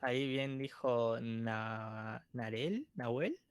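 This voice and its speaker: A man's voice: mid-range voice, expressive, extremely slow, clear, resonant tone, no echo, clean studio sound. A man, co-teaching an online workshop in a casual, chatty way, laughing easily. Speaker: co-instructor.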